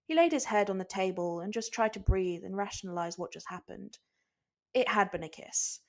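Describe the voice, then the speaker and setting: Female narrator for amateur audio fiction, mid-range pitch, scripted storytelling read. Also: moderate pace. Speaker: podfic narrator.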